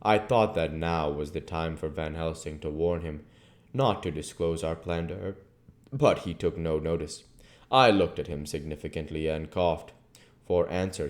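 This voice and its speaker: A man with a low-pitched voice narrates a classic novel. His reading is measured and plain, in the manner of an amateur audiobook host. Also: darkish tone. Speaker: audiobook reader and channel host.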